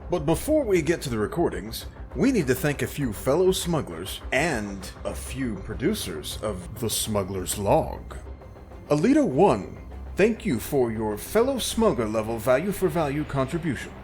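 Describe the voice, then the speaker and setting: A man's voice: medium pitch, big pitch swings, normal speed, a little mellow, natural voice. Man acting as wry roguish space smuggler. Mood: grand, hearty. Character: cocky roguish male starship smuggler captain. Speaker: narrator and lead actor. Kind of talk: audio drama acting and scripted narration.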